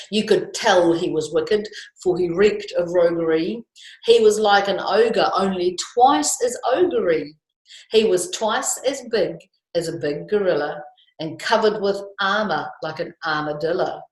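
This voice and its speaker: A woman reading a children's story expressively, with chatty explanations and questions to her listeners. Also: mid-range voice. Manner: conversational, explaining, asking tag questions. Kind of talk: expressive read-aloud with chatty asides. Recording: echoey room.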